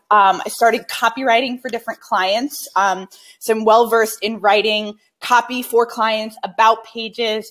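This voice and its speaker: A young woman teaches a live online writing class in a chatty, casual way, full of ums and likes. She sounds a little congested from a recent sinus infection. It is picked up on a laptop or webcam mic. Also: a little high. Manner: chatty, warm-hearted, many fillers.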